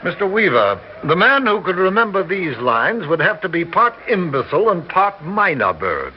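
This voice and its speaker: A man's voice: high-pitched, big pitch swings, normal speed. An actor in his sixties playing a proud, theatrical aging stage actor with grand, elaborate diction in a vintage radio drama. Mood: haughty. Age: 60s. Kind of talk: 1960s radio drama acting. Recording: dull lo-fi vintage radio with faint hiss.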